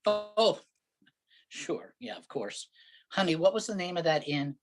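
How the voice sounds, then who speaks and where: A man's voice: fairly high pitch, expressive, a little slow, over music. A man acting an exasperated, sarcastic city husband. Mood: impatient, sarcastic. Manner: sarcastic, grumbling. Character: exasperated, impatient New York husband lost in the countryside. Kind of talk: staged reading of a comic play.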